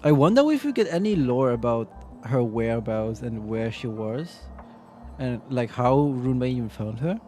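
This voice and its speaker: A man's voice: mid-range voice, lively intonation, normal speed, darkish tone, faint music behind. Rambling man streaming a game. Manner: rambling, reads game text aloud, jokey. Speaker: vtuber streamer. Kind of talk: casual game stream commentary.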